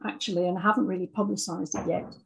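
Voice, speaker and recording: A woman's voice: medium pitch, steady tone, fast talker, gravelly, slightly rough, rough recording. A woman answering interview questions in a conversational way, as a guest writer. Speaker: interview guest, audio dramatist.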